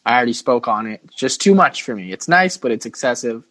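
A man's voice: mid-range voice, expressive, fast talker, bright, forceful tone, no room sound. A man hosting a podcast with casual sports banter and a playful, teasing manner. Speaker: podcast host.

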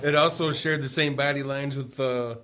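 A man's voice: mid-range voice, fairly even intonation, normal speed, bright, forceful tone, slight background noise. A man in casual chat as a guest, eager to weigh in.

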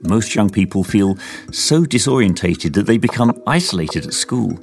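A man reading scripted narration for a documentary podcast in a measured, steady way. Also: fairly low pitch, soft background music.

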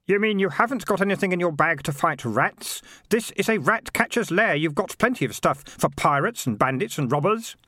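A man voicing a practical, sceptical teenage boy in an audiobook, matter-of-fact and quick to instruct. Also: high-pitched, lively intonation, bright, forceful tone, no room sound, studio quality, voice pushed up, full character voice. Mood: exasperated.

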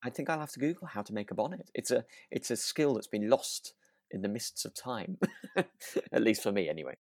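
A man with a mid-pitched, very animated voice chats as a podcast co-host. He is agreeable and slightly hesitant, chuckling often, and the recording is dry and close. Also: brisk, dry recording. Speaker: co-host of a radio drama review podcast. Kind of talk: casual chat.

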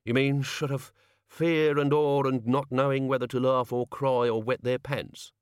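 A man's voice: medium pitch, level tone, unhurried, smooth, dry recording, studio quality, pitched above the performer's own voice, lightly acted. A man voicing a grumpy, sarcastic old male servant, in a storybook reading. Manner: sardonic.

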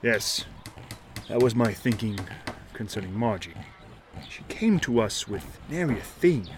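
Genteel, animated, fretful mayor. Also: male voice, fairly low pitch, measured pace, slight background noise. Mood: hesitant, worried. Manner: florid formal old-fashioned wording, stammers when worried. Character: kindly fretful genteel mayor of a Depression shantytown. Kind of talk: 1930s noir audio drama acting.

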